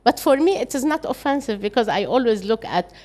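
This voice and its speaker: A woman's voice: fairly high pitch, animated, a little mellow. An older woman with an Arabic accent, a native Arabic speaker, talking as an interview guest in an earnest way, often stopping and restarting her sentences. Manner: earnest, halting with frequent restarts. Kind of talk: reflective interview conversation.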